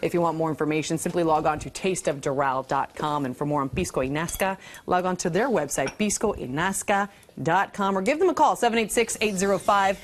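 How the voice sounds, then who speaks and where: A woman's voice: lowish voice, fairly quick, a little hiss or hum. A woman hosting a live TV lifestyle segment in an effusive, chatty, exclaiming way. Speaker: TV lifestyle show host. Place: TV studio cooking segment.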